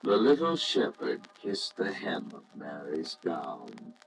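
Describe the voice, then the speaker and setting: Slow, low-voiced male story narrator. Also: sing-song, soft background music, low-quality recording. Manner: solemn, reverent, measured. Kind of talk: scripted storybook narration, old radio drama.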